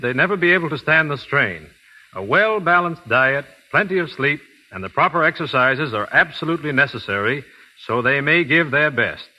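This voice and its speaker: Guy on a 1930s radio show, super bright punchy voice, scripted trainer advice, earnest and polite. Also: medium pitch, rough recording. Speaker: guest physical trainer and product endorser. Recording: vintage 1930s radio broadcast.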